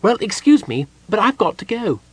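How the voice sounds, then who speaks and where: A man's voice: sits high, sing-song, normal speed, husky, no echo, voice pushed up, exaggerated voice, low-quality recording. A man voicing a cheerful, polite, chatty small male kender wanderer in a fantasy audiobook. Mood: apologetic, bright. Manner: polite, eager, apologetic.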